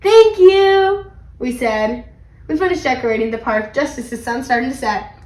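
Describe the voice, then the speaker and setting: A woman reading a children's story aloud in an animated, expressive way. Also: fairly high pitch, a little fast, reverberant. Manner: animated, acts out character dialogue. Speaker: read-aloud channel host.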